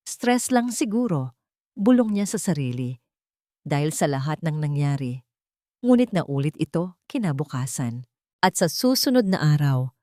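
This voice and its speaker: A woman's voice: low-pitched, darkish tone, no room sound, clean studio sound. A woman narrating a scripted dramatic story.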